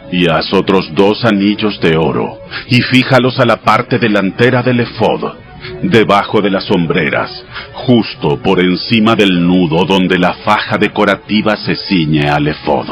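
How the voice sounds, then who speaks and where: A deep, solemn God giving instructions. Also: male voice, a bit bright, exaggerated voice. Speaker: Bible audio drama voice actor. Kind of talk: dramatized scripture reading. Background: music behind the voice.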